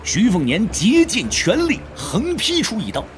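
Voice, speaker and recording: A man's voice: sits high, wildly swooping pitch, a little slow, heavy background noise. A man narrating a martial arts audio drama in a dramatic, scripted storytelling style.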